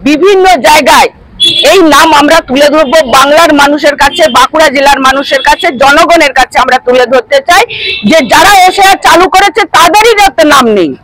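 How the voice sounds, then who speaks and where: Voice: high voice, fairly quick, rough recording. A party spokesperson giving an emphatic, repetitive statement to the press. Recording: crowd noise and vehicles behind.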